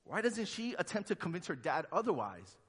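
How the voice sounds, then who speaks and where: A pastor originally from Honduras preaches in a loose, conversational style and slips into the voices of the people he quotes. His voice sits a little high for a man and swings widely in pitch. A long hall reverb trails after his words. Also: sing-song, fairly quick, fairly bright tone, husky. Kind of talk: sermon.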